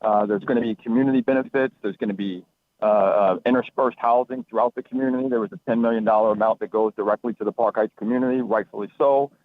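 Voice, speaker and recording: A man answering as a radio interview guest, conversational and halting with repeated words. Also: fairly low pitch, fairly even intonation, dry recording. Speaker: racetrack authority executive, interview guest. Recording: thin, phone-like radio line.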